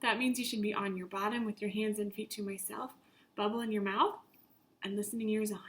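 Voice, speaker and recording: A woman's voice: medium pitch, steady tone, a little fast, fairly bright tone. A woman teacher reading a story aloud to young children, with simple, instructive phrasing and repetition.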